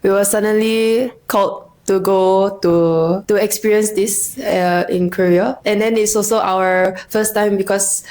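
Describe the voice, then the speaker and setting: A woman in her twenties from Singapore, a youth missionary speaking enthusiastically but haltingly. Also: medium pitch, fairly even intonation, a little mellow. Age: 20s. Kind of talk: sharing testimony.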